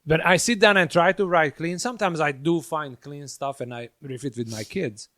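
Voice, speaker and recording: A man's voice: on the high side, normal speed, bright, forceful tone, dry recording, studio quality. Hungarian-accented man chatting, closely recorded. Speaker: comedian and podcast host. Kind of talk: casual chat.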